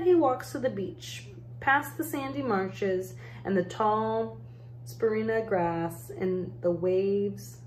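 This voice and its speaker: Woman doing a picture book read aloud, takes her time, bit of room echo and faint noise. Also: mid-range voice. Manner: measured, deliberate phrasing with pauses. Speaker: art educator reading a picture book aloud. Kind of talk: storybook read-aloud narration.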